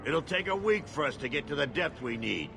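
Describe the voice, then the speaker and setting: An actor playing an impatient, crude and bombastic dwarf expedition leader in a video game, blustering and barking orders. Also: male voice, a little high, sing-song, a little fast, gravelly. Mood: bombastic, eager. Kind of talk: video game cutscene acting. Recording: loud music behind dialogue. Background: loud music.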